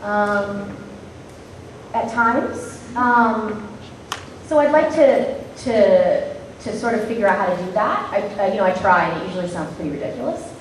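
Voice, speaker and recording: A woman voice actress answering questions on a convention panel, hesitant and thoughtful, with many pauses. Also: mid-range voice, slight background noise. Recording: echoey hall from far off.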